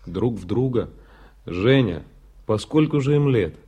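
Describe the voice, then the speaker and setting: An actor playing an ironic, teasing middle-aged bachelor doctor in a radio drama. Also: male voice, mid-range voice, animated, a little slow, husky. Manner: wry, teasing, warmly ironic. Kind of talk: 1980s radio drama acting. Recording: music playing behind. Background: music.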